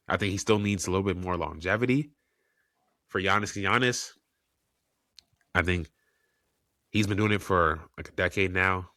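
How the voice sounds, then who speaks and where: A man with a very low voice that still carries a bright, forceful edge, sounding congested because he is under the weather. He hosts his own sports podcast, arguing his rankings in an opinionated way that circles back on itself. The recording is dry and close, with no room sound. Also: no echo. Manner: opinionated, thinking aloud with restarts. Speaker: podcast host. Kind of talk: solo sports commentary podcast.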